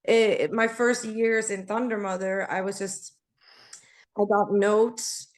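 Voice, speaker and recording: A woman with a naturally raspy voice, a singer being interviewed, rambling in a friendly way and laughing easily. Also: mid-range voice, fairly even intonation, slow. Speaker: singer, guest. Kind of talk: casual interview chat.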